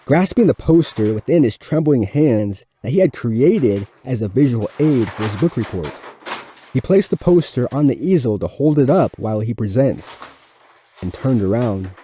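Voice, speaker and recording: A man's voice: medium pitch, animated, normal speed, dark tone, slight background noise. A man reading a scripted story as its narrator. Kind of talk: scripted audiobook-style narration.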